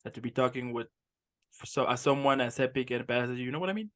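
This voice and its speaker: A man's voice: medium pitch, fast talker. A young man hosting a casual interview show, upbeat and effusive, piling on superlatives. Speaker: podcast host.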